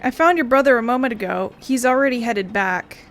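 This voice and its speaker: A woman's voice: fairly high pitch, brisk, a bit bright. A woman playing through a video game in a playful, casual way, commenting and reading text aloud. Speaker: gaming video host.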